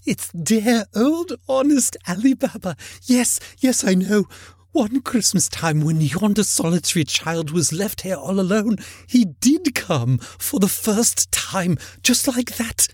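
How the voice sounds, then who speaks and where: A man voicing an emotional old man in a storybook reading, exclaiming and repeating himself in a husky, cracking voice. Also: very high-pitched, sing-song, takes it slowly, few pauses, mellow, no echo, clean studio sound, pitched above the performer's own voice, full character voice. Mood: ecstatic. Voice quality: husky, cracking between laughing and crying. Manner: exclamatory, breathlessly repetitive.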